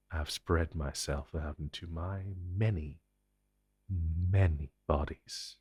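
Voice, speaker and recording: Man acting as a slow, husky, menacing ancient entity. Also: a bass voice, darkish tone, no echo, exaggerated voice. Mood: cold explaining. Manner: theatrical, possessive, condescending, deliberate. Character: ancient possessive telepathic hive-mind entity, menacing protector. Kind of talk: intense audio roleplay monologue to the listener.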